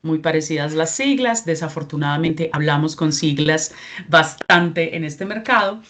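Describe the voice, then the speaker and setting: A woman in her forties with a Colombian accent, giving a measured presentation at a training workshop, with frequent filler sounds and restarts. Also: sits low, a bit bright. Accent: Colombian Spanish. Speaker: regional representative giving a training talk.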